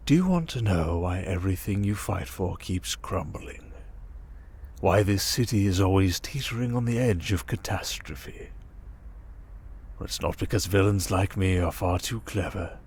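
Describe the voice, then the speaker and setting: A man playing a smug, manipulative criminal mastermind in an ASMR roleplay, calm and condescending, coaxing with endearments and soft breathy murmurs. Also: sits low, unhurried, full character voice. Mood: persuasive, contemptuous. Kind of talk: ASMR roleplay monologue, dramatic.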